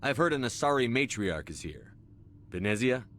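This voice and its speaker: An actor playing a firm, no-nonsense military commander in a video game, direct, terse and authoritative. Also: male voice, medium pitch, sing-song, moderate pace, a bit bright, slight background noise. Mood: stern, questioning. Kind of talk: video game cutscene acting.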